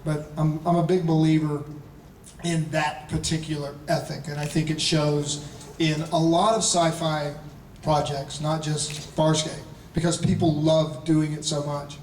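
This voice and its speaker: Male convention panelist, 40s, animated raconteur, high-ish voice, distant and roomy. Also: unhurried, a little hiss or hum. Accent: Charlotte, North Carolina. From North Carolina, US. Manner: animated storyteller, laughing, playful asides. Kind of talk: live convention Q&A. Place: large convention hall. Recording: echoey hall from far off.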